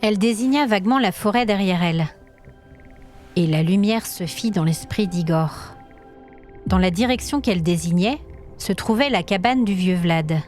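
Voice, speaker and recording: A woman storyteller reading scripted dramatic narration in an expressive, suspenseful manner. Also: mid-range voice, moderate pace, faint music behind.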